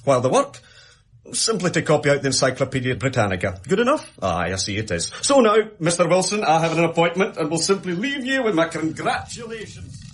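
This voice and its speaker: Pompous, high-pitched male official. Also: very animated, a little fast, breathy edge. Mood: brisk, businesslike. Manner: grandiose, declamatory, theatrical. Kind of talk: radio drama acting. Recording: crowd murmur behind the voice.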